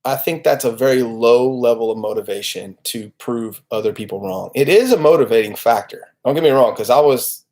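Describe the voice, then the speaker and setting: A man's voice: mid-range voice, a little mellow. A man in his late thirties giving an informal, reflective motivational monologue, talking earnestly and searching for his words as he goes. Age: late 30s. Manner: halting, searching, conversational, earnest. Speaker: motivational video host.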